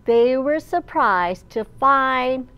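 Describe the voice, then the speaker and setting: A woman hosts storytime as a children's librarian. Her voice is high, and she reads very slowly, enunciating each phrase in an animated way for small children. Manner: animated, slow and clearly enunciated. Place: large indoor library room.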